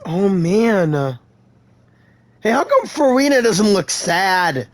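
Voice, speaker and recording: A woman's voice: medium pitch, slow pace. A woman giving casual, reactive commentary on a video game she is playing. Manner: expressive, reactive. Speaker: gamer commenting on a playthrough. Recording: game music behind the voice.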